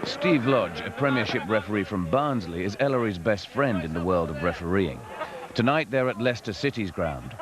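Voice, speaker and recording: Low-voiced man narrating a documentary. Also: expressive, moderate pace, crowd noise. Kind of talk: scripted narration. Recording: hissy old tape.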